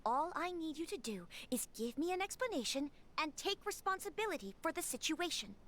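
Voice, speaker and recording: A woman playing a young noble lady in an anime game, earnest, polite and articulate, with quiet command. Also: high-pitched, big pitch swings, fairly quick. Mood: firm, commanding. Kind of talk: scripted anime game voice acting.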